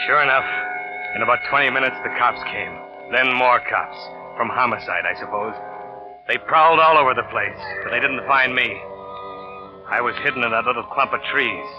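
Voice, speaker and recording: An actor playing a scheming, vengeful lover in a 1940s radio thriller, narrating in a tense, hard-boiled way. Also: male voice, mid-range voice, fairly quick, close to an everyday voice. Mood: desperate, tense. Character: scheming, vengeful male lover in a murder plot, bitter and desperate. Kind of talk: 1940s radio suspense acting, first-person narration. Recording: dull old radio recording with music.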